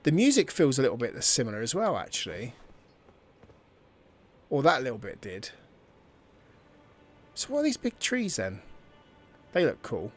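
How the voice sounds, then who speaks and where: A man's voice: medium pitch, huge pitch swings, measured pace, pauses often, faint music behind. A man hosting a gameplay video, thinking aloud in informal, casual commentary.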